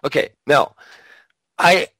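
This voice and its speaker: A man's voice: takes it slowly, sharp tone, no echo, clean studio sound. Blunt, foul-mouthed male podcast host. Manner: blunt, provocative, swears freely. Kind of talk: casual opinionated chat.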